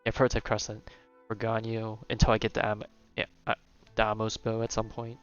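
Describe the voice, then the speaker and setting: A man's voice: on the low side, completely flat delivery, a little fast, muffled recording. A man live streaming a video game, thinking aloud in casual, rambling commentary that often trails off. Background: video game music.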